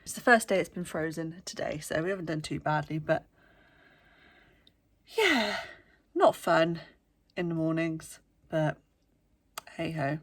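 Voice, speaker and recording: A young woman chats to camera with a stuffy, bunged-up voice, filling pauses with ums and now and then lowering her voice. Her pitch sits a little low for a woman. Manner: chatty, with frequent ums, sometimes hushed. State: bunged up with a cold. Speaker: vlogger. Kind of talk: casual chat to camera. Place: workplace indoors, early morning.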